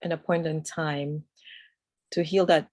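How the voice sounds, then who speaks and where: A woman's voice: deep voice, fairly even intonation, a little slow, a little mellow, no room sound. A woman raised in New York, a guest on a video call, speaking reflectively and searching for her words. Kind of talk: casual conversation over a video call.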